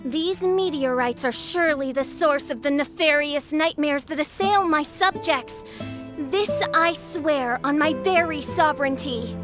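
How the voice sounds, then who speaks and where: Pompous dramatic princess, talks all archaic and grand, high voice. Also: female voice, unhurried, over music. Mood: grandiose. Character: grandiose theatrical young princess, archaic speech.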